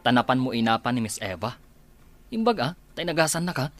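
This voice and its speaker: A man plays a coaxing radio drama character who gets flustered. His voice sits at an ordinary middle pitch, and he talks like a grown man reasoning with others. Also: very animated, brisk, soft background music, lightly acted. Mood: scolding, explaining.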